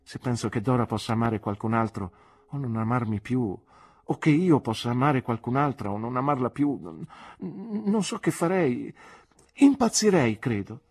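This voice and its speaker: A man's voice: medium pitch, moderate pace, voice pushed up, lightly acted. A man voicing an earnest young man in love, protesting fervently and stumbling over his words. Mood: pleading. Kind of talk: audiobook character voice.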